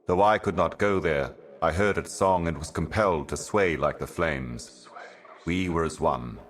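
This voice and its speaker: A man voicing an ancient, otherworldly envoy in a video game, delivering cryptic monologues in a solemn, measured, portentous manner. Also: sits low. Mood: solemn, ominous. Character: ancient cosmic envoy, solemn otherworldly prophet serving higher powers.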